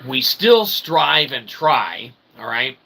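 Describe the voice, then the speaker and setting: A man's voice: medium pitch, sing-song, takes it slowly, bright, forceful tone. A man hosting a live stream, rambling through a comic mock-sermon in wordy, pseudo-formal phrases with folksy check-ins. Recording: clearly noisy background.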